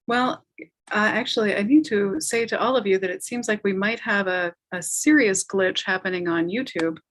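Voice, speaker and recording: Woman moderating with a medium-pitched voice. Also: normal speed, a bit bright. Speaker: moderator of a talkback. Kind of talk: informal live event moderating. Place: remote video call.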